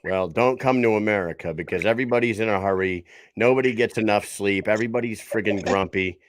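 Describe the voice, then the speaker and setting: Male show host, lowish voice, dry close sound, talkative and rambling. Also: quick pace, dry recording. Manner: chatty, rambling, self-correcting. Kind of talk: casual chat show.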